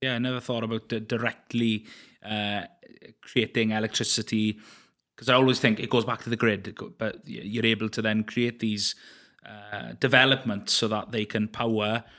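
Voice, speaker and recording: Hesitant podcast host. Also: male voice, lowish voice, little pitch movement, moderate pace, bright, forceful tone. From Wales. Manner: hesitant, many restarts and fillers, enthusiastic. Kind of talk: casual interview chat.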